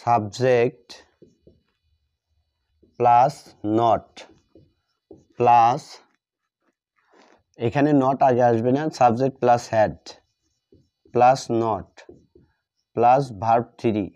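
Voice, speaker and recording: A man with a Bengali accent teaching a grammar lesson step by step, dictating in short, halting pieces and repeating himself. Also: medium pitch, fairly even intonation, warm tone. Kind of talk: step-by-step lesson, explaining while writing. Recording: dull, muffled lo-fi audio.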